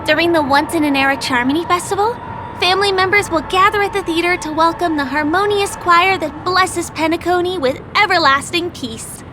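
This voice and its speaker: A woman's voice: very high-pitched, fairly quick, background noise. A woman voicing a cheerful, eager young tour guide in a video game, chatty and friendly as she shows someone around. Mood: proud, presenting. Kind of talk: video game character voice acting. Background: game music.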